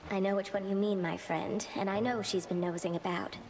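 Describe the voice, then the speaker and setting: A woman voicing a lonely, guarded recluse in a video game, gentle, self-deprecating and hesitant. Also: mid-range voice, steady tone, a little fast, soft background music, lightly acted, low-quality recording. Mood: guarded, wry. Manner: hesitant, wistful.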